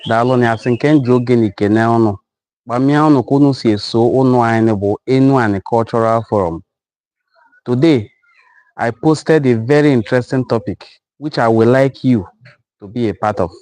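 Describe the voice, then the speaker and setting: A man with a Nigerian accent hosts a live broadcast, speaking slowly and repeating his main points as he appeals to his viewers. His voice is mellow and dark, and it is recorded dry, with no room sound. He is a native Igbo speaker. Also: medium pitch, dry recording. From western Igbo land, Nigeria. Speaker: host of a cultural forum live broadcast. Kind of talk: live-stream talk to an online audience.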